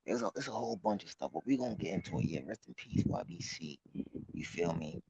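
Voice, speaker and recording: A man of about thirty giving casual, slangy street commentary in a halting, repetitive way, recorded outdoors. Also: low voice, expressive, vocal fry. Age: late 20s, about thirty. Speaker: vlog host. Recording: muffled, noisy outdoor recording with clicks.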